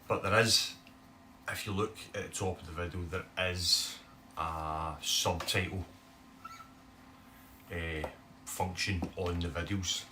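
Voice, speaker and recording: A low-pitched man with a broad Scottish accent chats to a phone camera. His talk rambles along with frequent "eh" fillers and has a bright, forceful edge. The phone sits a little away from him, and faint room noise runs underneath. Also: steady tone, slow, long pauses, far from the mic. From Scotland. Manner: chatty, rambling, many "eh" fillers. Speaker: bass guitar YouTuber.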